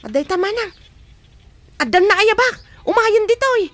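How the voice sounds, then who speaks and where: A very high-voiced helpful neighbor. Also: female voice, animated, normal speed, a bit bright. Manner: eager, helpful. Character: helpful young neighbor woman.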